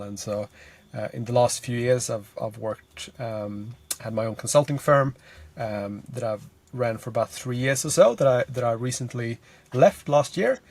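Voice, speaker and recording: A man's voice: medium pitch, darkish tone. A Swedish man in his thirties, a guest on a podcast, answering thoughtfully with lots of fillers while he sits in a parked car. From Sweden. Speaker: guest, energy consultant. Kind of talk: casual interview answers. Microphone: podcast mic on a remote call.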